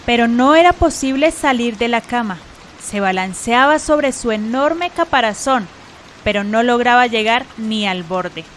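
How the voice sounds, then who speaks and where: A woman's voice: fairly high pitch, measured pace, a bit bright. A woman giving scripted narration for a radio drama, with rain-like hiss.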